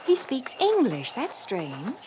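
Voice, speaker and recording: A woman's voice: fairly high pitch, wildly swooping pitch, slow, noisy background, full character voice. An actress playing a prim, easily horrified young woman in a 1950s radio drama, breathless and stammering in alarm. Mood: uneasy. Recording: old radio broadcast with music behind.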